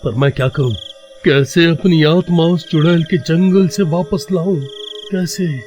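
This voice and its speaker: A man's voice: on the high side, fairly even intonation, unhurried, darkish tone, faint music behind, close to an everyday voice. A man playing a frightened, desperate man trapped in a cursed sleep, in an anxious, dramatic horror-story delivery. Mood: desperate, worried.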